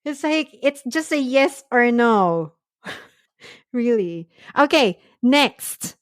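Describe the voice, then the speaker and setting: A woman's voice: fairly high pitch, animated, dry recording, clean studio sound. A woman hosting a casual podcast chat, laughing often and moving the talk along. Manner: quick, laughs often, leads the turns. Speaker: podcast host.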